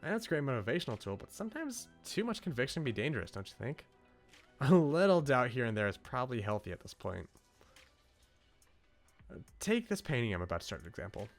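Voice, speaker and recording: A man voicing a wistful, gentle young woman from a visual novel, in a musing manner. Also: mid-range voice, big pitch swings, quick pace, pauses often. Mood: earnest, doubting. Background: game music.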